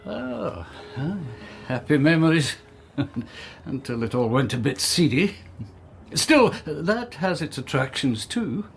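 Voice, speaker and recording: An actor playing an old, blind, wry Scottish gentleman who reminisces teasingly in a radio drama. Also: fairly high pitch, big pitch swings, slow, creaky, full character voice. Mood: amused reminiscing. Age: older. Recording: music behind.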